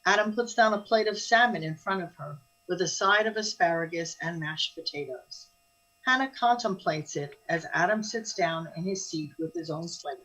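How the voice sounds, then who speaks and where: A woman's voice: fairly low pitch, fairly bright tone, poor audio. A woman reading scripted scene narration for a radio drama.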